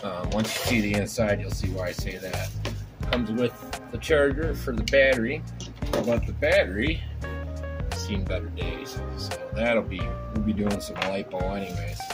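Male hobby host, lowish voice, loose rambling workshop talk, loud music bed underneath. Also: steady tone, moderate pace. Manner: rambling, thinking aloud with many fillers. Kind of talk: casual workbench show-and-tell. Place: indoor home workshop bench.

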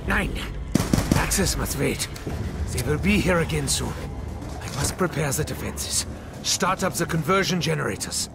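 An actor playing an excitable, obsessive mad scientist in a zombie video game, with a theatrical delivery. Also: male voice, fairly high pitch, normal speed, vocal fry. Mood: urgent explaining. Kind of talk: video game character acting. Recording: loud game music behind.

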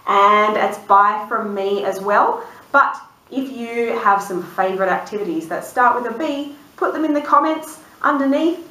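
A woman in her thirties reading a picture book aloud to young children, expressive and sing-song, drawing out words and putting questions to the listener. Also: a little high, moderate pace. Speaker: children's storyteller and channel host. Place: indoors in a room, seated before a dark backdrop. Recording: roomy, distant microphone.

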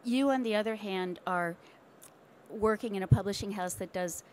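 A woman's voice: mid-range voice, normal speed, a little mellow, slight background noise. An older woman chairing a book-fair panel at a table microphone, hesitant with ums. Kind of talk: moderating a panel. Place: conference room at a book fair.

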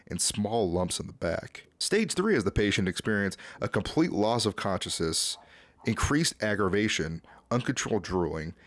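A young man with a fairly low voice narrates a horror series recap as a video host. His delivery is scripted yet conversational and casual. He is recorded close on a desk mic in a small room, with a dry sound. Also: no room sound. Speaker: YouTube host. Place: small dim home room, indoors. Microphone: close desk microphone.

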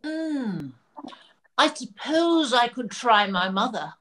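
An older woman playing a bumbling, dramatic pantomime Prince Charming in a theatrical, deliberate manner over a video call. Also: fairly high pitch, sing-song, a bit bright, full character voice. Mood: bumbling, earnest. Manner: theatrical, alliterative, deliberate delivery.

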